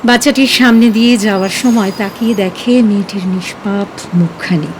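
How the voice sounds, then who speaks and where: A woman narrating a scripted audio story in an expressive, emotive, dramatic way. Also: medium pitch, normal speed, background noise.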